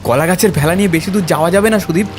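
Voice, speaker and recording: A man's voice: sits high, very fast, close to an everyday voice. A man voicing an earnest, determined village man in a cartoon story, over music and storm sounds. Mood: grave, concerned. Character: earnest, determined village man, Somnath, urging his friend. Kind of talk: cartoon story character acting.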